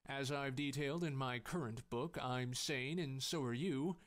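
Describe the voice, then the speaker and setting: A man plays a smug pop psychologist on a talk show in an amateur audio drama. His voice sits at medium pitch, pompous and pleading as he promotes himself. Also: moderate pace, close to an everyday voice. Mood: smug, placating. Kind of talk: fan-dub audio drama acting.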